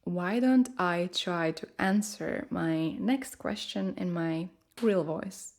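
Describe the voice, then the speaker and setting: A woman from Latvia with a distinct Latvian accent, chatting casually and fairly softly in her full voice, often pausing with "um". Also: lowish voice. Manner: relaxed, hesitant with many ums, talking quite softly. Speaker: ASMR creator.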